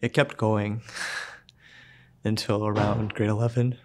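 A young man telling his own story in a short film, soft-spoken and halting, with pauses and fillers. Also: lowish voice, flat delivery. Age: young adult. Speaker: student filmmaker narrating his own story. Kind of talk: personal narration for a short film.

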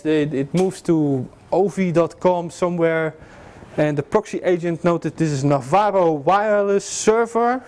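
A man's voice: high voice, darkish tone. A young adult man giving an informal security lecture to a class, joking with many fillers and restarts, recorded by a far camera in a classroom. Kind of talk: informal technical lecture with slides. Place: classroom with projector screen.

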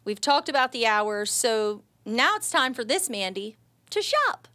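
A woman's voice: a little high, sing-song, measured pace. An American woman in her thirties hosting a local shopping show, chatty and enthusiastic, with upbeat promotional delivery. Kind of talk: upbeat promotional presenting to camera. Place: small home decor shop, indoors. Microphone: handheld microphone.

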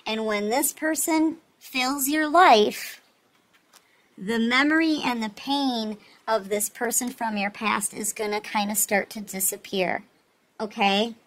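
A woman's voice: fairly high pitch, slow, fairly bright tone, poor audio. A woman giving a casual, reassuring tarot reading, speaking straight to the listener and often checking in. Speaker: tarot reader, host.

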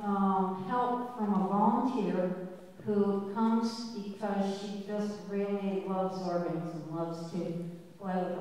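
Older female organist addressing a congregation: dry humor, halting ums, booming church echo. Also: dark tone. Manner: chatty, wry, unhurried with many ums. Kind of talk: speech to a church audience. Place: large church sanctuary with balcony. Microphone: handheld mic. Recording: echoey church hall, distant camera.

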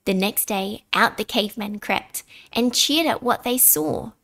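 A woman reading a children's picture book aloud in an expressive, deliberate way, dramatic on key words. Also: fairly high pitch, vocal fry. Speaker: storybook reader.

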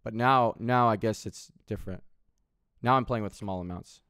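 A man's voice: on the low side, normal speed, a bit bright, no room sound, studio quality. A man of thirty streaming from home, rambling in casual chat and swearing freely, with lots of restarts. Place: home room with window, indoors.

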